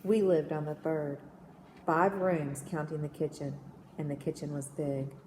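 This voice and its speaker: Woman, lowish voice, expressive read-aloud of verse, reverberant room, faint background noise. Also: measured pace. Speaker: reader, reading poems aloud.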